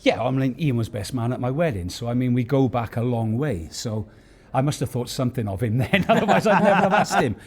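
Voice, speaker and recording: A man's voice: mid-range voice, lively intonation, brisk, hardly any pauses. A middle-aged or older man, a stage actor, giving chatty interview answers backstage. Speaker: pantomime actor, award winner. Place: backstage at an awards venue.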